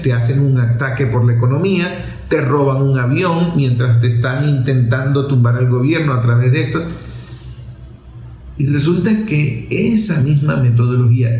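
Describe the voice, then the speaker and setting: A man's voice: medium pitch, dark tone. A man giving opinionated, off-the-cuff political commentary, emphatic, repeating and stretching key words to press his point. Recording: roomy, echoing voice recording.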